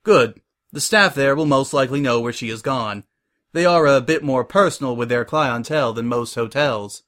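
No-nonsense lady pony boss, read by a male narrator: polite, measured, stern with a kind streak, storybook style. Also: medium pitch, a bit bright, natural voice. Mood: brisk.

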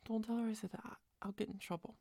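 A young woman doing a soft, close-mic whispered girlfriend roleplay, rambling and doting, a little tipsy. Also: mid-range voice, fairly even intonation, rapid-fire, vocal fry, slightly rough, no echo. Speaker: ASMR roleplayer.

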